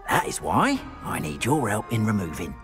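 An actor playing a nervous, fussy middle-aged castle caretaker from Korea, apologetic and theatrical, in a video game. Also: male voice, lowish voice, wildly swooping pitch, moderate pace. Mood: frightened, indignant. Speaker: school caretaker. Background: game music.

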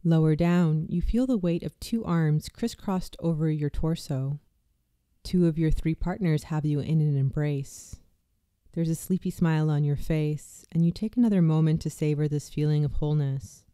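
A meditation guide narrating a guided visualization in a slow, soft, soothing delivery with hushed moments. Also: medium pitch, steady tone, clear, resonant tone, dry recording, clean studio sound.

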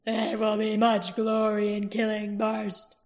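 A woman voicing a huge, battle-hungry male warlord who speaks slowly and heavily in an audiobook. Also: mid-range voice, completely flat delivery, darkish tone. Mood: grim. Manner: slow, heavy, deliberate speech.

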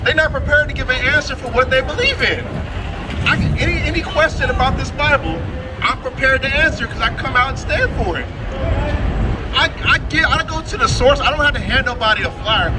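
A man street preaching and debating outdoors in a city, quick and challenging, with casual slang. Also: extremely high pitch. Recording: distant voice over loud city crowd and traffic.

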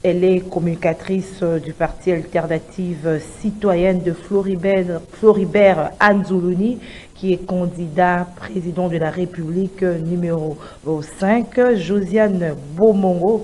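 A woman's voice: on the low side, dark tone. A middle-aged woman with a Congolese French accent, hosting a political television interview in a studio. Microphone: gooseneck desk microphone.

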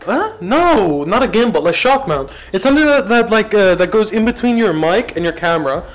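A young man vlogging casually, rambling and swearing, getting loud and excited at times. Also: sits high, fairly quick, soft background music. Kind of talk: casual gym vlog talk. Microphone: camera mic.

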